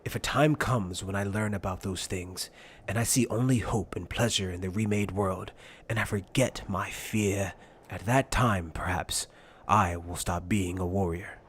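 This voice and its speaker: A man voices a solemn anime warrior captain in a low register. His delivery is grave, formal and measured, like a philosopher in arms. Faint noise sits behind him. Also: fairly even intonation, a bit bright. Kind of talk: anime fan dub acting.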